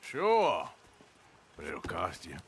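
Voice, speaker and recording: A man's voice: sits low, huge pitch swings, takes it slowly, slight background noise, exaggerated voice. A man playing a reluctant, world-weary outlaw gunslinger with a rural Western American drawl, laconic and dry, in a game drama. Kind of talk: naturalistic video game acting.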